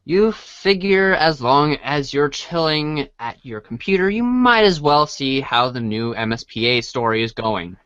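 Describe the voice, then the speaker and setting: Male storybook narrator, lightly high voice, slow halting read, close dull-edged dry recording. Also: big pitch swings, fairly bright tone, clean tone, no room sound. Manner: halting reading with stumbles. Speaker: co-host and narrator.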